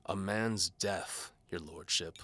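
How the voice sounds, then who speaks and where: Very deep, forceful hardboiled mercenary played by a man. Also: slow pace, full character voice. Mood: insistent. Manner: wry, terse, hardboiled. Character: cynical, hard-bitten male mercenary bowman narrating his case. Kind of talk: scripted first-person noir fantasy narration.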